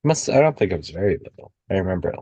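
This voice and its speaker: A man's voice: low-pitched, sing-song, fast talker, warm tone, husky, no room sound, muffled recording. A man chatting casually about films and scripts as a participant in a table read.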